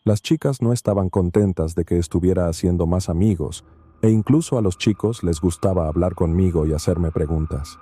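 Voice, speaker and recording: Male narrator, low and very dark voice, steady measured read for a horror story channel. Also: slightly rough, no echo. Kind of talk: scripted first-person narration.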